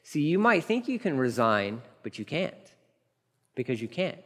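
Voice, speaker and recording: A man pastor preaching a verse-by-verse sermon, animated, acting out the story's dialogue in different voices. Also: medium pitch, normal speed, in a large hall. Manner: animated, acts out dialogue with laughs.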